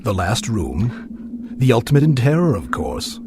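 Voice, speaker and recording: An actor playing a sinister, silky magician's mechanic in an audio drama, speaking in a formal, ominous, insinuating manner. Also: male voice, medium pitch, huge pitch swings, unhurried, noisy background. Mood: ominous relish.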